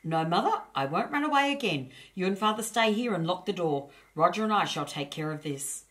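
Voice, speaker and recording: A woman's voice: on the low side, lively intonation, fairly quick, a bit bright. A woman voicing a shy, kind young male dragon in a storybook, earnest and polite. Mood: resolute.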